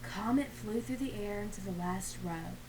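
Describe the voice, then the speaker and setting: A woman of medium pitch reads a picture book aloud to children. She tells it expressively and drops into hushed little asides. Clear background noise runs through the recording. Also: moderate pace. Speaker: read-aloud reader.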